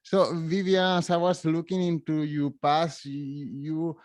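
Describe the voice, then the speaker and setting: A man's voice: fairly high pitch, flat delivery, unhurried, darkish tone, dry recording. A man whose first language is Spanish hosts a podcast interview, asking questions in a friendly, hesitant way. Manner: friendly, hesitant, uses fillers. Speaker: podcast host. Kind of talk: interview hosting.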